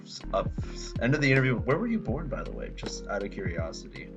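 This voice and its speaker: A man's voice: mid-range voice, very animated, fast talker, music in the background. A man hosting his own channel in a casual interview, speaking hesitantly with frequent "um" and restarts. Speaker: host of a gaming channel. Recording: dull lo-fi audio.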